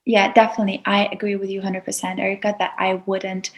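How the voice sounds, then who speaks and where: A woman's voice: medium pitch, flat delivery, fast. A woman from Azerbaijan with an Azerbaijani accent, speaking earnestly as a guest on a podcast interview over a video call. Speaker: guest YouTuber.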